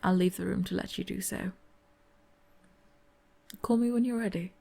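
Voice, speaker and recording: A woman's voice: medium pitch, fairly even intonation, normal speed, gravelly, a little hiss or hum. A woman playing a sly whispering maid. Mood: polite. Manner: soft-spoken, whispered, teasing. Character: female servant maid, polite and sly. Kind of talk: ASMR roleplay audio drama.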